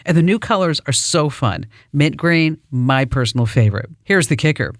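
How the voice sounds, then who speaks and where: A man's voice: a little high, no echo. Man reading an upbeat sponsor ad. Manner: upbeat, personal endorsement read. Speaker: ad reader. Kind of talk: read sponsor ad. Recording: dry close studio.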